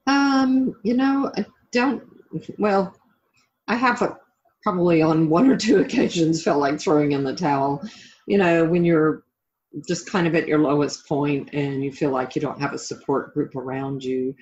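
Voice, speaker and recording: A woman's voice: sits low, moderate pace, a little mellow, dull audio. A middle-aged woman being interviewed as a life coach and author, talking reflectively and conversationally in an encouraging way.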